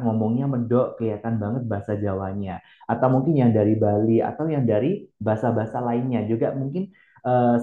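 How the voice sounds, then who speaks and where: A man giving a lecture, explaining step by step with many hesitant filler pauses. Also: medium pitch. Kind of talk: recorded lecture. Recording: echoey room, phone-like band.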